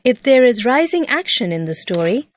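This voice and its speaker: A woman narrating a scripted educational lesson in a measured, deliberate and expressive way, like a teacher explaining step by step. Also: on the high side, slight background noise.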